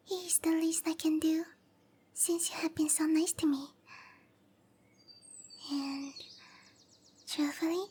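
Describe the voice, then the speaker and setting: Very high, girlish tiny cowgirl. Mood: shy, pleading. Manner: bashful, hesitant, breathy murmurs between bright chatter. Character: tiny young cowgirl monster girl, shy eager support mage. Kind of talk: roleplay ASMR, anime-style character acting.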